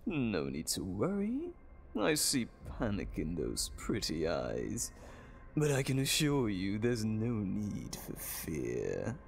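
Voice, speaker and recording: Wildly swooping sadistic mad doctor. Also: male voice, on the low side, wildly swooping pitch, takes it slowly, vocal fry, soft background music, exaggerated voice. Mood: soothing menace. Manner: genteel, theatrical, relishing every word. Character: gleeful sadistic mad doctor, theatrical older villain. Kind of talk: dramatic audio roleplay acting.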